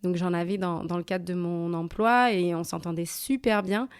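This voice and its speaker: A woman's voice: lowish voice, fairly even intonation, normal speed, clear, resonant tone, no echo. A woman hosting a podcast, chatting casually with a friend and guest, with frequent small restarts. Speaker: podcast host. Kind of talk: casual interview conversation.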